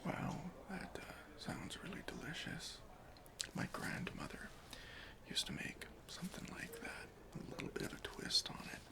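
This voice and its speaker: A man playing an officious but easily persuaded airport security officer in a whispered, deliberate ASMR roleplay, questioning with mock-stern politeness. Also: a little high, huge pitch swings, pitched above the performer's own voice, full character voice. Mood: agreeable, musing. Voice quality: whispery, breathy.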